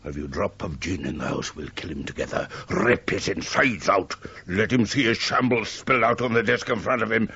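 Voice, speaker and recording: An actor playing a brooding, grandiose poet with murderous intent, speaking theatrically in a radio drama. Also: male voice, lowish voice, moderate pace, few pauses, sharp tone, breathy edge, heavily acted. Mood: anguished, dramatic. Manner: theatrical, declamatory. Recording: muffled old radio broadcast.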